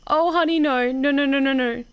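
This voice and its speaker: A woman streamer giving soft-hearted live reactions as she plays a visual novel. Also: high voice, fairly even intonation, a little fast. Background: game music.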